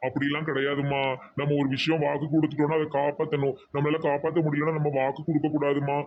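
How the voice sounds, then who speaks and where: A man playing a kind, principled big-brother figure in an amateur cartoon, earnest and gently advising, with a southern Tamil Nadu accent. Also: on the high side, completely flat delivery, fast, dull audio, noisy background, natural voice. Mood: advising, reassuring.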